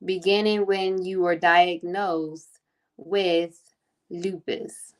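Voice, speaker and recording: A woman's voice: fairly low pitch, level tone, drawn-out words. A woman hosting a faith podcast, chatting casually and piling on repeated welcomes and praise. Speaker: podcast host.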